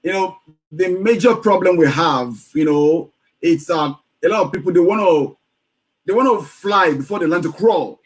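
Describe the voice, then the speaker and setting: A man's voice: high-pitched, moderate pace, husky, rough recording. A man hosting an interview over a video call, adding short remarks and finishing his guest's sentences. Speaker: talk show host.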